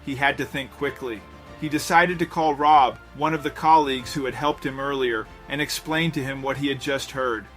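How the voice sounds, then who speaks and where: A man narrating a scripted dramatic story in a measured, suspenseful way. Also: mid-range voice, steady tone, fairly bright tone. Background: background music.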